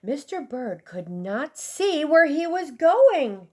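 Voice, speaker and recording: High-voiced woman reading slowly to children. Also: sing-song, mellow. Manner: deliberate, dramatic emphasis for young listeners. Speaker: storybook reader. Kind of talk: expressive children's read-aloud narration.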